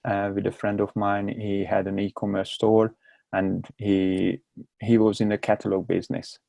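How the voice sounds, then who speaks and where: A low, gravelly man's voice with a strong Hungarian accent, almost monotone as he explains himself in his second language. He stalls and repeats words mid-sentence, and the mellow, dark tone sits in a dry, close space. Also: dry recording. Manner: halting, repeats words while searching for them. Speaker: guest. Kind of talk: casual interview talk.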